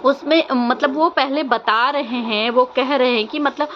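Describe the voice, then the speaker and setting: A woman's voice: sits high, measured pace. A young woman gives casual opinion commentary to viewers, insistent and questioning, often repeating her phrases. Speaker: vlogger. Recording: muffled lo-fi audio, faint background noise.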